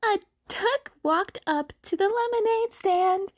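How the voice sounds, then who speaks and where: A woman's voice: very high voice, slow, pitched above the performer's own voice. A woman voicing a playful online prankster in a story, teasing in a sing-song way. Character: playful, chirpy online prankster reciting a silly rhyme.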